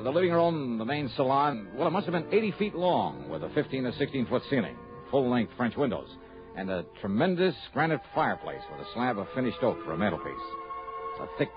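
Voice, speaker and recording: Casting: male actor as businesslike private investigator, mid-pitched and lively, for a vintage radio play; muffled old recording with music. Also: a little fast, lightly acted. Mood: wry, descriptive. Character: businesslike, patient but puzzled male investigator. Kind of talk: old-time radio drama acting and first-person narration.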